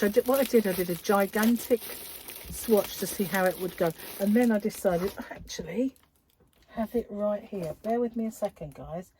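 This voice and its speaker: Over clearly audible background noise and crinkling wrappers, a woman chats in a slow, lingering way. She gushes admiringly in a slightly low voice, dropping into breathy asides like a craft vlogger showing off new things. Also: a little mellow, rough recording. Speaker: craft vlogger and yarn shop owner. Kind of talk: casual unboxing chat to followers. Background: crinkling cellophane, clicks and rustling.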